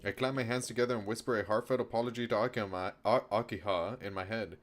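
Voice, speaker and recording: Low voiced guy streaming, reading a story game out loud n commenting, noisy background. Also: fairly even intonation, quick pace. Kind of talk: reading visual novel narration aloud with casual live commentary. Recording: clear background noise, rainy ambience. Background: noise, rain during a stream.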